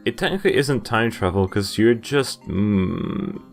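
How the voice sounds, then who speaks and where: Low-voiced young man, casual game commentary. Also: lively intonation, measured pace, gravelly. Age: young adult. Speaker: gaming video host. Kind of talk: playthrough commentary, casual with read-aloud text. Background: game music.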